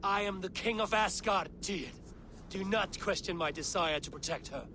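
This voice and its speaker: A man's voice: high-pitched, moderate pace, noisy background, lightly acted. Havi, brooding king of the gods, played by a man. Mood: commanding. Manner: commanding, brooding. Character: Havi, proud aging male king of the gods. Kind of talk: video game cutscene acting.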